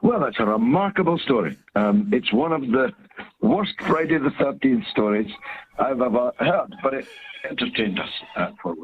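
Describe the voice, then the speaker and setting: A man's voice: medium pitch, very animated, moderate pace, slightly rough. A man teasing a caller on a talk show in a dry, wry way. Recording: tinny phone line.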